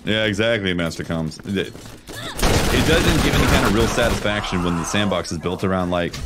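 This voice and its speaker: A man doing casual live gameplay commentary, chatting with viewers in a slangy, animated way as he plays. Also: on the low side, normal speed, very noisy. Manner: animated, reacts out loud while playing. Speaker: game streamer.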